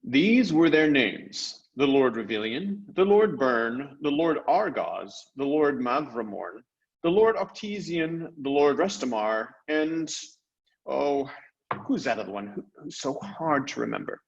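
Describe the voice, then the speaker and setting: A man's voice: medium pitch, a little slow, highs cut off. A man voicing a confident, eager young seafaring king in a storybook, with a declamatory manner. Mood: earnest, resolute. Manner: animated, declamatory.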